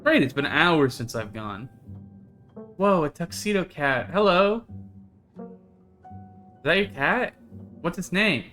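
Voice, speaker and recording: A man's voice: a little high, sing-song, slow pace, long pauses, pushed and bright, soft background music, exaggerated voice. A man voicing an awkward, sarcastic visitor from the city in a visual novel, casual and a little hesitant. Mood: curious, uneasy. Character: sarcastic, awkward city visitor being shown around an old house. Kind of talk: reading visual novel dialogue aloud.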